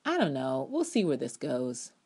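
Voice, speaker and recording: A low-pitched American woman reads her own written review aloud as a dramatic performance. She is emphatic and sassy, leaning theatrically into her opinions and breaking into conversational ad libs. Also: wildly swooping pitch, normal speed, mellow, husky.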